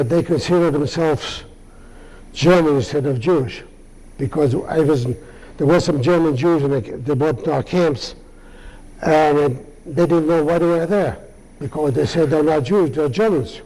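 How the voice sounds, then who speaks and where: Older man, halting speech, mellow tone, fairly high voice. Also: poor audio. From Lithuania. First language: Yiddish. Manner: halting, many pauses and restarts. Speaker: Holocaust survivor speaker. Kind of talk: answering audience questions.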